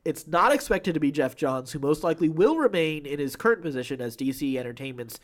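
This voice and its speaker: A man's voice: a little high, expressive, fast. A man co-hosting a podcast, chatting casually about film news. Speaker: podcast co-host.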